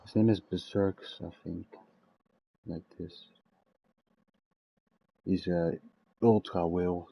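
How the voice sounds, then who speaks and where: A man giving casual gaming commentary as a streamer, in a rambling, halting way. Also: low voice, fairly even intonation, gravelly, muffled recording. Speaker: game streamer.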